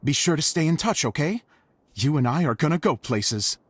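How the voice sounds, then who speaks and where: Animated, theatrical stage director. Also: male voice, on the high side, moderate pace, husky, no room sound. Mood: enthusiastic. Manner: effusive, chatty, theatrical. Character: eager, theatrical, self-styled stage director man. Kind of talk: video game cutscene acting.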